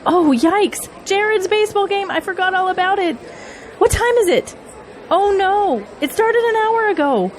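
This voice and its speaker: A woman's voice: very high-pitched, animated, moderate pace, slight background noise. A woman acting in an audio drama as a busy, earnest political campaign manager and single mother. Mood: alarmed.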